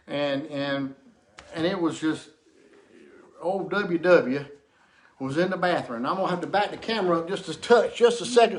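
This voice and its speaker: High-voiced man spinning a rambling folksy yarn. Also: a bit bright, a little hiss or hum. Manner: rambling, folksy, repeats himself. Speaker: storyteller. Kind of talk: casual comic anecdote to camera.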